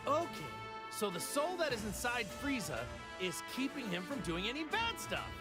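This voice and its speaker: A man's voice: extremely high pitch, wildly swooping pitch, moderate pace, heavily acted. An actor playing a cheerful, naive martial arts hero in an anime fighting game, a man with a boyish, easygoing, earnest manner. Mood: upbeat, earnest. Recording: music behind the voice.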